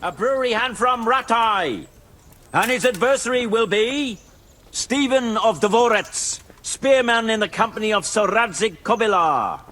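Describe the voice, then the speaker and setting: Game casting: medieval contest herald, very high, swooping, declamatory calls. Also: male voice, lively intonation, fairly bright tone. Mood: proclaiming. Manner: drawn-out, declamatory calling. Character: loud medieval herald announcing fighters at a contest. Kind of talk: proclamation in a video game.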